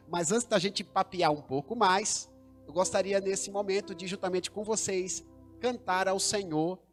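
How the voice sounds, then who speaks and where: Man, Brazilian Portuguese accent, pastor, high voice, formal welcome, soft music behind. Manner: formal, preacher-like, rising emphasis. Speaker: pastor and host. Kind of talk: welcoming a church livestream.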